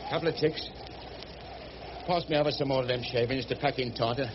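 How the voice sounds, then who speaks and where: An actor, rambling along in folksy, colloquial speech. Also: male voice, mid-range voice, fairly quick, natural voice. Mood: busy, pleased. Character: rough, good-hearted working-class boatman, chatty and affectionate. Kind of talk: radio drama acting. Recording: muffled radio drama recording with background noise.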